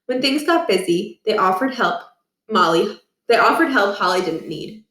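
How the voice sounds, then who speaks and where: A woman reading a children's storybook aloud with expressive, deliberate delivery in a roomy space. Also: mid-range voice, far from the mic. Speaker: read-aloud reader. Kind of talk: scripted storybook narration. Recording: roomy, echoing room.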